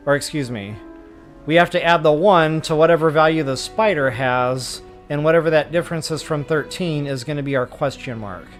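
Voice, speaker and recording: A man with a somewhat high voice talks through a video game as he plays. He is informal and folksy, working things out aloud, with music playing lightly underneath. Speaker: game walkthrough host. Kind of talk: casual gameplay commentary, explaining as they play. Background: game music behind the voice.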